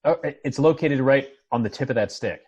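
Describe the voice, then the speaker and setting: An actor playing a reserved, laconic middle-aged man in a television drama table read, halting and understated. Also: mid-range voice, darkish tone, husky, natural voice. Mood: matter-of-fact.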